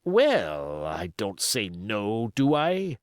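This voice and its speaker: A man voicing a gruff, impatient pirate coxswain in an audiobook, complaining emphatically in seafaring dialect. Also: lowish voice, wildly swooping pitch, extremely slow, dry recording, studio quality, heavily acted. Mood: grumbling. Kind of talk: audiobook character voice.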